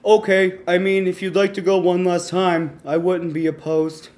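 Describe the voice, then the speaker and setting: A man's voice: high-pitched, flat delivery, moderate pace, a little mellow, natural voice. A man voicing an eager, sheepish aging toy bucket in a live comedy story reading. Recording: noisy live room recording.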